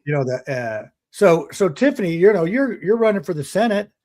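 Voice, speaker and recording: A man's voice: sits high, sing-song, a little fast, darkish tone. A man hosting a casual panel talk show, rambling with many restarts and frequent chuckles. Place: outdoors in the sun.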